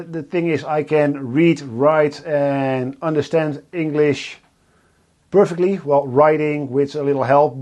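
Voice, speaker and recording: Slow, halting Dutch-accented man. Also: on the high side. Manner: halting, many fillers and restarts. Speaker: guitar builder hosting a live stream. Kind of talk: casual live-stream chat. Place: home workshop.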